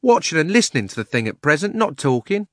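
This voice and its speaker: A man's voice: a little high, big pitch swings, quick pace, fairly bright tone, no echo, clean studio sound, pitched above the performer's own voice. A man voicing a blunt, exasperated working-class cab driver in an audiobook.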